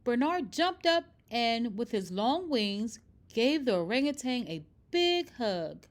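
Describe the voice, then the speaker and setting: A woman reading a children's picture book aloud in expressive, scripted narration. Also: fairly high pitch, takes it slowly. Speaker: storybook reader.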